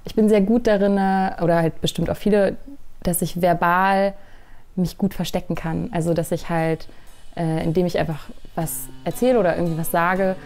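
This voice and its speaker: A woman with a fairly low voice answers a friend's questions in a casual, searching way, pausing often and filling gaps as she thinks. Soft guitar music sits quietly behind her. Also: steady tone. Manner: hesitant, thinking aloud with fillers. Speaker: interviewed friend.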